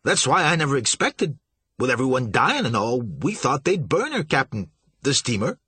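A man's voice: medium pitch, sing-song, normal speed, bright, forceful tone, breathy edge, no echo, voice pushed up, exaggerated voice. A man voicing a flustered, nervous young shipping agent who stammers and fawns. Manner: stammering, fawning.

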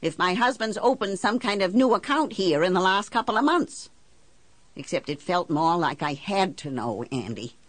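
A woman acts out an island housewife with no polish and plenty of grievance, in an audiobook monologue. Her voice sits a little low and swings widely as she talks. The talk is folksy and indignant, full of country grammar, in a close, dry recording. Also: expressive, moderate pace, hardly any pauses, husky, no room sound. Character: blunt, embittered working-class island housewife telling her story. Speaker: audiobook narrator. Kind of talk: first-person storytelling in character.

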